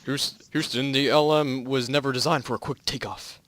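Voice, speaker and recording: A man's voice: medium pitch, steady tone, fairly quick, a bit bright, natural voice. A man playing a cautious astronaut on the moon in an amateur story reading, clipped and hesitant. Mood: concerned, urgent. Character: tense, cautious male American astronaut on the moon. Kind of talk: amateur group fan fiction reading.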